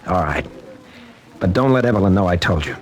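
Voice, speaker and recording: An American actor playing a troubled college teacher with a halting, earnest way of talking, in 1940s-style radio drama. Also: male voice, sits low, animated. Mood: troubled, hesitant. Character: troubled, hesitant male college teacher confiding in a friend.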